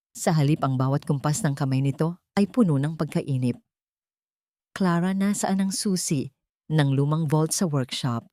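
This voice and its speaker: A woman with a Filipino accent reading a scripted dramatic story in a measured, solemn way. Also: sits low, darkish tone, no room sound, clean studio sound. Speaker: storyteller, narrator.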